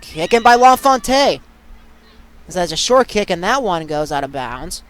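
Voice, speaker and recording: A young man calling live sports play-by-play in short, punchy, excitable phrases. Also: sits high, moderate pace, a little hiss or hum. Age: young adult. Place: at sports venues, live during games.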